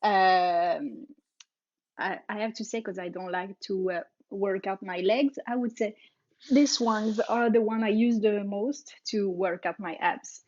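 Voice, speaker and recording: A woman's voice: medium pitch, a little mellow, dry recording, highs cut off. A woman in her thirties from France with a French accent, answering interview questions casually with fillers and little laughs. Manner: laughs often, halting with fillers. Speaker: guest, aerialist.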